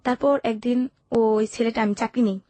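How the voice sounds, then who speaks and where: A woman's voice: a little high, steady tone, moderate pace, warm tone, no echo. A young woman with a Bangladeshi accent tells her life story candidly and colloquially, as an interview guest, often quoting what people said. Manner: colloquial, recounts events with quoted dialogue. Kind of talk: candid personal storytelling in conversation.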